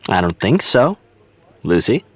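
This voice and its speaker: Friendly young tv star, casual low-key delivery, lively pitch, audio drama. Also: male voice, a little slow, dry recording. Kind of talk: naturalistic audio drama dialogue.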